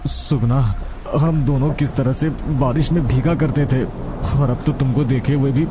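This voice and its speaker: A man playing a lovesick, sorrowful young man in an animated story. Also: medium pitch, normal speed, heavy background noise, voice pushed up, natural voice. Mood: longing.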